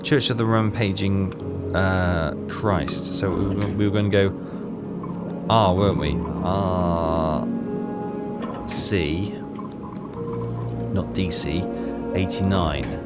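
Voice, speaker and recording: A man's voice: low-pitched, fairly even intonation, takes it slowly, pauses often. A man doing casual gameplay commentary, thinking aloud in a tentative, self-questioning way. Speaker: game player and commentator. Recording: game music behind the voice.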